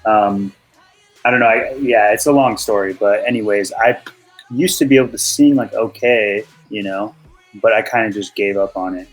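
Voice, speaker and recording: A man with a fairly low, monotone voice chats casually about making music. He sounds laid-back and unhurried, with plenty of fillers. Faint music plays underneath. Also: low-quality recording. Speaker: music producer. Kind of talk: casual chat on a livestream.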